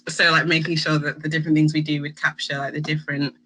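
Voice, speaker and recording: Young woman, bright tone, a bit husky, rambling casual chat. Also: deep voice, little pitch movement, fast, rough recording. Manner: rambling, lots of fillers and laughs. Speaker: student union vice president. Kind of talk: casual chat while eating snacks.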